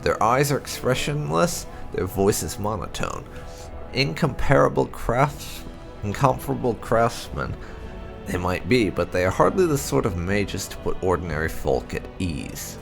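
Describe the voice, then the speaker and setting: A man narrating a video game playthrough, reading lore aloud in an expressive, measured storytelling way with emphatic phrasing. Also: lowish voice, vocal fry, music in the background. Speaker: gaming channel narrator.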